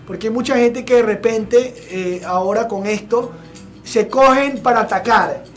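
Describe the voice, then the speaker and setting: A young man hosting a TV studio show, giving blunt, opinionated commentary with many filler pauses. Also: high voice, a little hiss or hum. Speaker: TV show host. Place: TV studio set with sofa. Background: background music.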